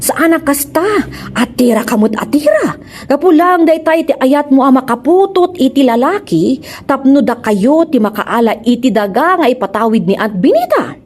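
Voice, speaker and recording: Woman acting scolding lady in ilocano radio comedy, high voice, drawly and reproachful. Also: animated, moderate pace, a little mellow, a little hiss or hum.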